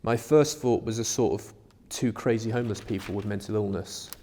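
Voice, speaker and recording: A man with a fairly low voice co-hosts a conversational podcast. He explains his thoughts reflectively, and his voice rings with long reverb from the room. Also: fairly quick, mellow, slight background noise. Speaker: podcast co-host. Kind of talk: conversational discussion.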